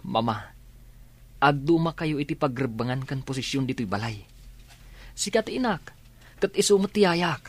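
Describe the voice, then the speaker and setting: A man's voice: mid-range voice, animated, moderate pace, long pauses, natural voice. An actor with a Filipino Ilocano accent playing an earnest young son who pleads respectfully for his nanny in a radio drama. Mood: pleading.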